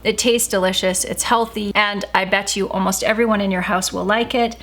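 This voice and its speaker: A woman chatting casually as she cooks in her home kitchen for a vlog, relaxed, with a sore throat from talking all day. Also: medium pitch, brisk, slight background noise. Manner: chatty, relaxed, with filler ums. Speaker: vlogger cooking at home. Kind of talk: casual cooking vlog chat.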